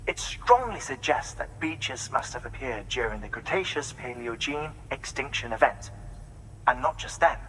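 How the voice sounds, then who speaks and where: An actor playing an earnest, scholarly scientist in a video game, explaining his findings in a measured, articulate, didactic manner. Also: medium pitch, lively intonation, sharp tone, slight background noise, poor audio. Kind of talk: video game cutscene exposition.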